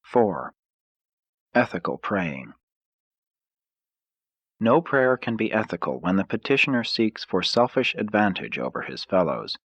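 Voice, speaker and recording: A man reading a scripted audiobook in a formal, measured way. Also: deep voice, a bit bright, husky, dry recording. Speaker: audiobook narrator.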